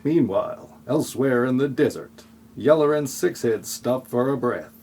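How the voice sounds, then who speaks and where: A man's voice: mid-range voice, lively intonation, moderate pace, a little mellow, a little hiss or hum. A man reading scripted comic narration for an audio play in a wry, flippant way.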